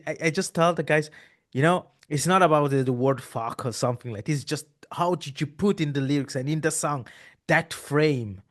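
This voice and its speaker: A man's voice: on the high side, moderate pace, a bit bright. A man, hosting a casual interview, talking in long rambling runs full of restarts. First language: Italian. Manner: rambling, with many restarts and repeated words. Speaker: podcast host.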